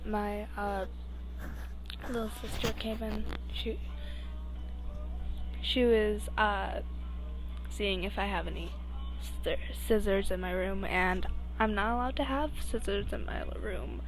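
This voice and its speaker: A woman's voice: medium pitch, slow pace, long pauses, soft background music, rough recording. A young woman reading fan fiction aloud informally, stumbling over words and breaking off into asides. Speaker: amateur podfic reader.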